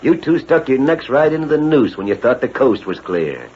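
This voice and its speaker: An actor playing a shrewd, deliberate federal detective in a 1940s radio western, folksy and taunting as he toys with crooks. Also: medium pitch, sing-song, breathy edge. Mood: firm. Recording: muffled 1940s radio broadcast, faint noise.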